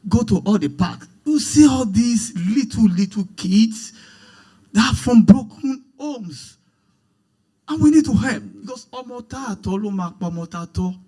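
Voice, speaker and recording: A man's voice: high voice, mellow. A man with a Nigerian accent making an impromptu public comment, pleading and impassioned.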